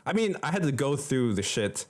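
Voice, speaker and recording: A man's voice: medium pitch, very animated, a little fast. A young man from the Philippines chatting casually as a podcast co-host, in an informal, emphatic and slangy way. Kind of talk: casual conversational chat.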